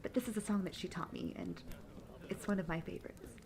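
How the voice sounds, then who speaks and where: A woman's voice: mid-range voice, huge pitch swings, in a whisper. A young woman playing a peppy traveling bard in a fantasy audio roleplay, telling tales earnestly and fondly without hurry. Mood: fond, wistful. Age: young adult. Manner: earnest, fond, unhurried storytelling.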